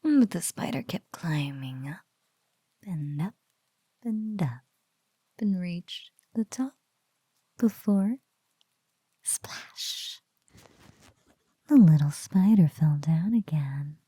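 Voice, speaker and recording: A woman playing a teasing, doting spider-woman captor in an ASMR roleplay, speaking softly in breathy whispers and lingering playfully over the words. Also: lowish voice, animated, dry recording, exaggerated voice. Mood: teasing, hushed. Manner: slow, soft, breathy, lingering teasing delivery.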